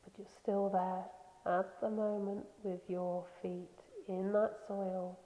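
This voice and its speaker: A woman leading a guided meditation in a soothing, gentle, unhurried manner with pauses. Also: medium pitch, little pitch movement. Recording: echoey long reverb, slightly dull sound.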